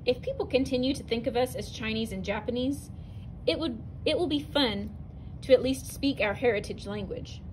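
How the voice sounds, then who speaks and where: Woman teacher reading a picture book out loud, kinda high voice, faint hiss in the background. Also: moderate pace, rough recording. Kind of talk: reading a narrative poem aloud to students. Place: indoors, at a table over an open book.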